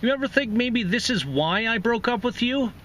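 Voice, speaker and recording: Man putting on a high-pitched, blunt young woman. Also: expressive, normal speed, fairly bright tone, full character voice. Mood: pointed. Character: young woman, the ex-girlfriend, firm and matter-of-fact.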